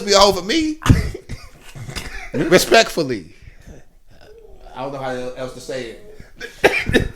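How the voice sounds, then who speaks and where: A man's voice: sits high, fairly bright tone. A man of forty co-hosting a podcast, joking crudely and laughing often. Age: 40s. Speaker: podcast co-host. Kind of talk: casual chat.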